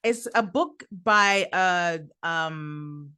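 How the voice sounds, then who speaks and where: A woman's voice: lowish voice, extremely slow, sharp tone, smooth. Earnest woman guest from Trinidad. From Trinidad and Tobago. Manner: earnest, laughs often, repeats words while thinking. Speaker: podcast guest, side business coach. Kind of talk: casual interview conversation.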